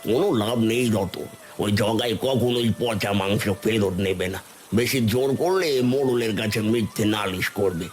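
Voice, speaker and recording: A man's voice: lowish voice, normal speed, slight background noise, natural voice. A man voicing a gloomy, folksy older village uncle who laments, in a cartoon. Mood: concerned, lamenting. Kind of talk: cartoon voice acting.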